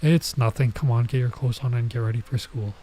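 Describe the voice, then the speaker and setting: A man with a soft-edged, relaxed voice of medium pitch reads a visual novel aloud as a streamer. He slips between reading the text and commenting on it casually. Some ambient noise sits behind his voice. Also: fairly quick. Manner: stops to remark on and reread the text. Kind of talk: let's play read-aloud with casual side comments.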